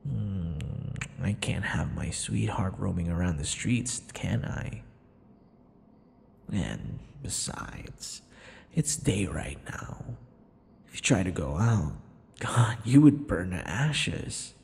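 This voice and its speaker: A man performs a vain, possessive male vampire in an intimate audio roleplay. He taunts his captive theatrically, slow and gravelly, drifting into whispers. Long reverb hangs around the voice. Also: low voice, animated, a little mellow, a little hiss or hum, exaggerated voice. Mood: smug, tender menace. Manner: theatrical, taunting, breathy whispers mixed with murmurs. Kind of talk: intimate ASMR boyfriend roleplay.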